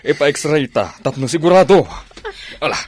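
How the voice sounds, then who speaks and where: A man's voice: on the high side, lively intonation, normal speed, a little hiss or hum, natural voice. An actor playing a flustered, obliging rich old man in a radio drama, with an Ilocano Filipino accent. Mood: flustered, helpful.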